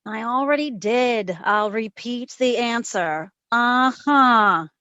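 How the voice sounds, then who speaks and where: A woman playing a wisecracking, hard-boiled private detective in an old-time radio drama, telling her case in a wry, deadpan way. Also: on the high side, slow, clear, resonant tone, dry recording. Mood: teasing, evasive. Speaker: lead actor in community theatre radio recreation. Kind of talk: old-time radio detective acting, first-person narration and dialogue. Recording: dull lo-fi recording with music underneath.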